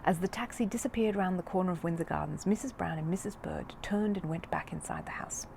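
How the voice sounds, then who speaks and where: A woman reading a children's story aloud in an expressive narrating style. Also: lowish voice, a little fast, gravelly, a little hiss or hum. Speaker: audiobook reader of a children's book.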